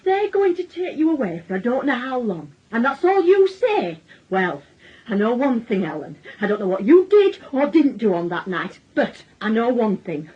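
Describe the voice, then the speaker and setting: A woman's voice: a little high, big pitch swings, moderate pace, slightly rough. An actress playing a bitter, wounded working-class mother with a Northern English accent in a radio drama. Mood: hurt, furious. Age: middle-aged. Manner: accusing, wounded, emotional. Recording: dull radio drama recording.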